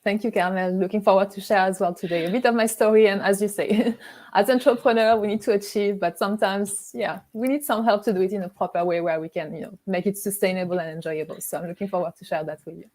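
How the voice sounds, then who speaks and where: A woman from France with a clear French accent, speaking in her thirties as a coach telling her story. She talks in an easy, relaxed way and laughs often. Her voice comes through a video call at a middle pitch. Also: fast. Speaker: guest, high-performance coach. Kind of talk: interview answers.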